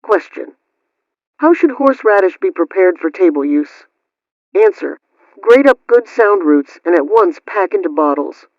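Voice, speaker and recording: A woman's voice: lowish voice, lively intonation, measured pace, dry recording, lo-fi sound. A woman playing a prim 1920s radio homemaking host, reading household advice in a formal, instructional way over a band-limited old-radio sound. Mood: formal, instructive.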